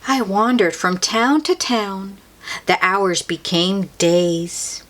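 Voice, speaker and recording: A woman reading a children's picture book aloud as a storyteller, expressively and theatrically, with drawn-out emphasis. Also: medium pitch, fairly bright tone, slight background noise.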